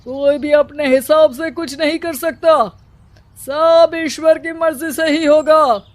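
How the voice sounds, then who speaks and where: Man acting a very high-voiced, pompous village headman. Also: fairly even intonation, takes it slowly. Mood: stern, commanding. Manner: pompous, commanding, mocking. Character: stern, domineering village headman who oppresses women. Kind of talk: Hindi cartoon character acting.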